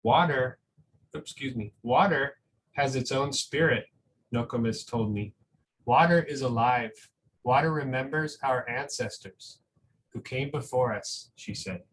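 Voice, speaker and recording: A man's voice: mid-range voice, unhurried, fairly bright tone, rough recording. A man reading a picture book to school families and chatting about it in an encouraging, conversational way. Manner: encouraging, conversational, often checks in with "right?". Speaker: school reader addressing families. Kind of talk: picture-book read-aloud with casual commentary. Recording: noticeable background noise.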